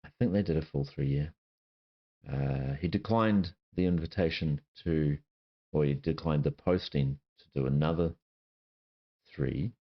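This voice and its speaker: A man in his fifties from New Zealand, with a Kiwi accent worn down by years abroad, introducing himself in a halting, unscripted way full of fillers and self-corrections. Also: rumbling low, dull audio. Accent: New Zealand, softened by years abroad. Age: 50s. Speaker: channel host. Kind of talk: casual self-introduction to camera. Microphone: laptop with webcam and desk microphone.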